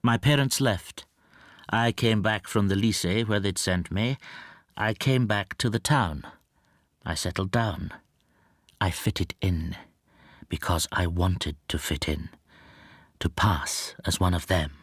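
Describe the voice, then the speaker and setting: A man's voice: deep voice, takes it slowly, long pauses, no echo, clean studio sound. A male actor playing an anxious, timid shopkeeper in a radio drama, speaking in a hesitant, confiding and introspective way. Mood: reflective. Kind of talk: radio drama monologue and dialogue.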